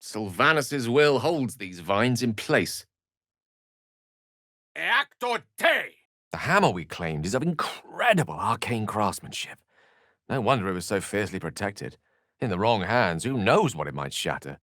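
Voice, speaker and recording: A man's voice: fairly low pitch, big pitch swings, a little slow, a bit bright, no echo, clean studio sound. A man playing an erudite, witty wizard in a fantasy video game, speaking with eloquent, wry and courteous theatricality. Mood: urgent, dramatic, then thoughtful, explanatory.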